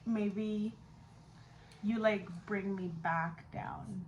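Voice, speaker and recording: A woman's voice: medium pitch, fairly even intonation, extremely slow, dull audio, slight background noise. A young woman in a casual chat with a friend, swearing easily and mixing English with Spanish. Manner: code-switches between English and Spanish. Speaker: vlogger chatting with her best friend.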